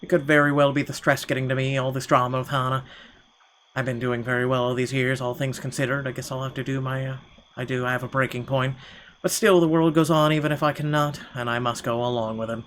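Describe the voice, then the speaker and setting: A man's voice: mid-range voice, level tone, quick pace, a bit bright, a little hiss or hum. A man reading visual novel narration aloud in a theatrical way, now and then stumbling over words. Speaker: gaming video host. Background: game music.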